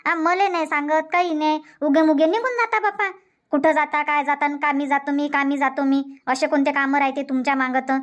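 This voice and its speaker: A very high-pitched cartoon housewife, acted by a woman, nags with an aggrieved air. She has a Varhadi Marathi village accent and scolds in repeated, complaining runs. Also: a little fast, lightly acted. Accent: Varhadi Marathi (Vidarbha). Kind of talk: Marathi comedy cartoon dubbing.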